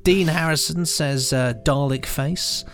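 A man's voice: on the high side, measured pace, fairly bright tone, faint music behind. A man of about thirty co-hosting a podcast, teasing his partner and reading listener posts aloud in a playful, casual way. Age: 30s. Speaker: podcast co-host. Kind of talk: casual studio chat.

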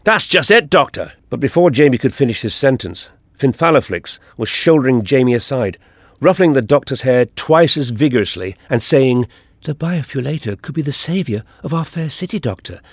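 A man reading an audiobook excerpt expressively, with lively voices for the characters in dialogue. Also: medium pitch, normal speed, no room sound, clean studio sound. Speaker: audiobook reader.

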